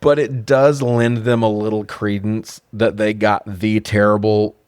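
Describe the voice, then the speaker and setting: A man's voice: fairly low pitch, flat delivery, a little slow, darkish tone, clear, resonant tone, dry recording, studio quality. A man co-hosting a podcast, giving a casual, comedic, sweary recap of a movie in slangy talk. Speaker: podcast co-host.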